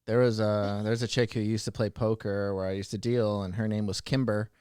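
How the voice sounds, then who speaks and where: A man's voice: on the low side, flat delivery, fairly quick, a little mellow, clear, resonant tone, no echo, studio quality. A man co-hosting a casual chat show, bantering in a teasing, joking way. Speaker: podcast co-host and audio drama producer.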